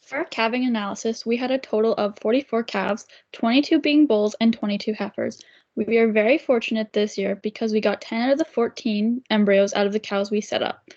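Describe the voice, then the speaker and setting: A woman's voice: fairly high pitch, fairly quick, a little mellow. A young woman, a student presenter, reading statistics evenly. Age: young adult. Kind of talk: prepared class presentation. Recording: dull lo-fi recording.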